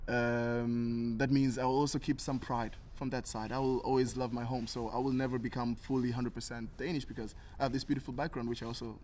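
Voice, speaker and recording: A man's voice: mid-range voice, little pitch movement, a little fast, few pauses, darkish tone, a little hiss or hum. A young man from Tanzania talking casually and earnestly as an interview guest, thinking things through. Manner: reflective, earnest.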